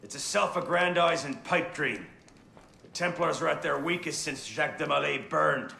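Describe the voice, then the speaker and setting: A jaded assassin in a game, blunt and sarcastic, gravelly bright voice, roomy echo. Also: male voice, on the high side, moderate pace, full character voice. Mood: scornful. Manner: sardonic, blunt. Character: cynical, gruff veteran assassin, impatient and disillusioned. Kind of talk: dramatic video game dialogue. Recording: roomy echo, faint noise.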